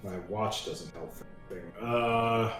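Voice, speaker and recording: Guy playing a tabletop game, lowish voice, casual chat, echoey room, bit of music. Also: measured pace, fairly bright tone.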